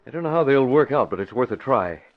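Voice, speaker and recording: An actor playing a commanding, earnest young newspaper publisher who secretly fights crime, in 1940s radio style. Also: male voice, medium pitch, expressive, fast, natural voice. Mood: determined. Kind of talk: 1940s radio drama acting. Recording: dull vintage radio broadcast.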